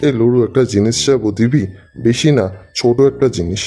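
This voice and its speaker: Man playing broke cartoon slacker, rapid slangy wheedling, mellow tone, music behind. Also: mid-range voice, natural voice. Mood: teasing, wheedling. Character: broke, cajoling young slacker friend, foul-mouthed. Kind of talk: Bengali comedy cartoon voice acting.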